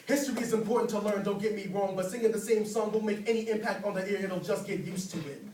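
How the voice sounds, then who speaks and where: High-voiced man reciting slam poetry. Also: fast, darkish tone. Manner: rhythmic, rhyming, declamatory delivery. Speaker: spoken word poet. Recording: roomy, distant mic, faint noise.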